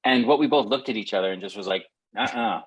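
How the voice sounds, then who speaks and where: A man's voice: lowish voice, quick pace, husky, poor audio. A middle-aged man hosting a casual, reflective conversation, rambling and circling back to rephrase as he goes. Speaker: podcast host.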